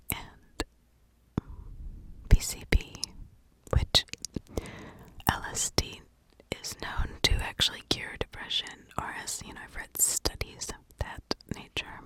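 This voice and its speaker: A woman telling a true crime story as ASMR in a breathy whisper, in short, halting phrases with pauses.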